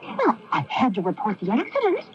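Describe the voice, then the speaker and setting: An actress playing an earnest, naive mystery fan in a comic radio commercial. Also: female voice, a little high, huge pitch swings, fairly quick. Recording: noisy, muffled AM radio broadcast.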